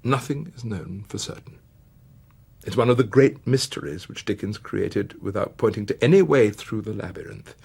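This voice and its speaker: Theatrical British man with sweeping pitch. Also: expressive, gravelly, darkish tone, slightly rough. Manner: dramatic, dwelling on words with long emphatic pauses and swells. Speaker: narrator. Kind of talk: theatrical scripted biographical narration.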